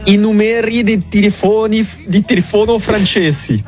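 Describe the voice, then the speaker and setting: A man's voice: high-pitched, steady tone, moderate pace, a little mellow. A man hosting a radio quiz in playful banter, teasing and joking. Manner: teasing, jokey. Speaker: radio game show host. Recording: music bed behind the voice.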